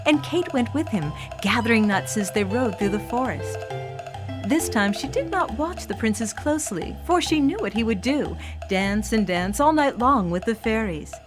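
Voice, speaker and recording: A woman's voice: a little high, expressive, moderate pace. A woman storyteller reading a scripted fairy tale for children, with music behind her voice.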